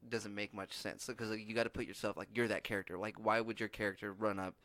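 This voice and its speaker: Low-voiced dude rambling thru a story on a podcast, swears a lot, dry close sound. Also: male voice, level tone, fast talker, fairly bright tone, no echo. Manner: rambling storyteller, frequent restarts and profanity. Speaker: podcast co-host. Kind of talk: casual chat.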